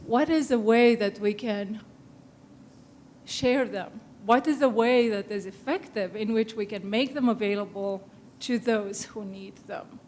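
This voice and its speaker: A woman, a safety researcher, making earnest, measured remarks on a panel and laying out her points as lists. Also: a little high, darkish tone, slight background noise. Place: conference room panel.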